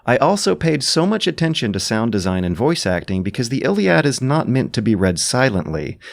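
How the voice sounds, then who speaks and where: A man reading scripted narration and commentary in a measured, literary manner with emphatic phrasing. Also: mid-range voice, very animated, vocal fry. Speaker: audiobook narrator and adapter.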